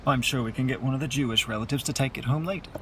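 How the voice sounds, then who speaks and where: A man's voice: mid-range voice, racing, very noisy, natural voice. A man playing a wry, stubborn mourner. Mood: sardonic, confiding. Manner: dry, teasing, sardonic. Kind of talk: radio play dialogue.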